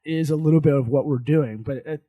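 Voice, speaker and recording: A man hosting a podcast in casual chat, blunt and profane, joking and talking loud. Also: fairly high pitch, a little fast, dry recording, narrow, tinny audio. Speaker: podcast host. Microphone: studio microphone.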